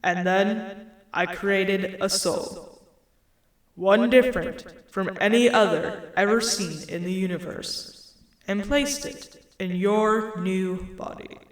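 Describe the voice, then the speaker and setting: A woman voicing a calm, almighty disembodied male creator in a story, solemn, measured and patient, with an echo effect. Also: mid-range voice. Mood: assured, explaining. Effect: reverberant echo on the voice. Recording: echoing reverberant sound.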